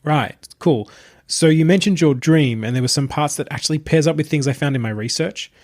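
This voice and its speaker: Man playing a hesitant, higher-voiced young student. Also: a little fast, no room sound, clean studio sound, lightly acted. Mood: eager, tentative. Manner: tentative, rambling, casual. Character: hesitant, earnest young male archaeology student.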